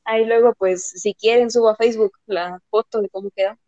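Slightly high-voiced young woman. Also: steady tone, brisk, warm tone, no room sound. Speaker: podcast co-host. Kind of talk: casual podcast chat. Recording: dry, dull lo-fi audio.

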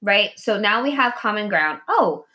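Blunt, sweary, animated woman podcast host. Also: medium pitch, moderate pace. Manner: animated, acting out imagined dialogue. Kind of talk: casual, candid conversation.

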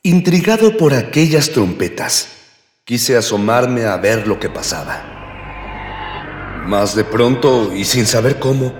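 A man's voice: medium pitch, expressive, a little slow. Male actor as grandiloquent unseen sidekick narrating. Florid, solemn radio drama read, heavy reverb, music underneath. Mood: grandiose. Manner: pompous, florid, literary. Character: grandiloquent storyteller sidekick, unseen companion of hero. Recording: echoey long reverb, music bed.

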